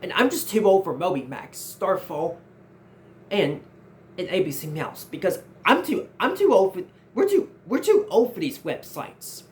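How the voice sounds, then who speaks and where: A man's voice: high-pitched, big pitch swings, moderate pace, slight background noise. A nineteen-year-old man hosting his own channel, earnestly answering viewer questions and often repeating and restarting his phrases. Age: 19, nearly 20. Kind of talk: casual Q&A vlog.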